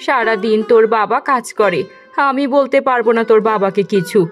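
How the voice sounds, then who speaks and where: A woman's voice: a little high, fairly quick, soft background music, close to an everyday voice. A woman voicing a weary, scolding mother from a poor village household in a cartoon, in an exasperated, nagging manner. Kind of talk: Bengali cartoon dialogue.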